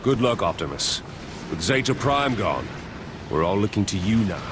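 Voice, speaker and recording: An actor playing a loyal robot airborne soldier in a video game, giving crisp military reports. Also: male voice, fairly low pitch, big pitch swings, normal speed, background noise. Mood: upbeat, brisk.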